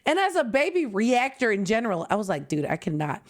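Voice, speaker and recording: A woman in her twenties from Canada, chatting casually and animatedly as a reaction video host, swooping high and dropping low. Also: very animated, fairly quick.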